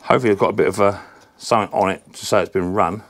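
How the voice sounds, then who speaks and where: A man hosting a casual lawnmower repair vlog from his workshop, his voice croaky from a sore throat, chatty and rambling with lots of ums. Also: low-pitched, steady tone, rapid-fire. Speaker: lawnmower repair channel host.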